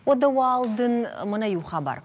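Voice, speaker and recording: A woman hosting a talk show in conversational style, speaking with hesitant, drawn-out pauses. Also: on the high side, big pitch swings.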